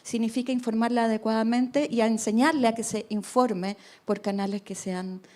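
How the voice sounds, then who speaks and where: Female panelist, somewhat high voice, reflective, explanatory, many tag questions. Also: steady tone, moderate pace, darkish tone. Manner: thoughtful, tag questions, restarts. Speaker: climate researcher on a panel. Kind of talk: radio panel discussion.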